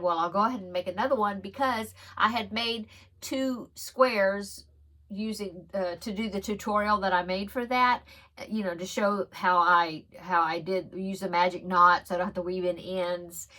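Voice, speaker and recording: A woman talks through her crochet projects in a relaxed, rambling way, full of ums and little giggles. One side of her nose is stuffed up, which gives her voice a slightly blocked sound. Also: medium pitch. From Texas. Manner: chatty, giggly, rambling with frequent fillers. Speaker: crochet podcast host. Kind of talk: casual show-and-tell chat.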